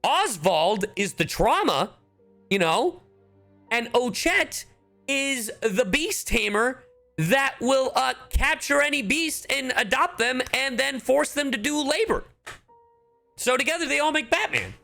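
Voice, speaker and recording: A man's voice: extremely high pitch, a little slow, vocal fry. A man streaming a game, chatting casually and swearing freely as he drifts into tangents. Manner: animated, sweary, tangent-prone. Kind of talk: casual chat, live game commentary. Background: game music.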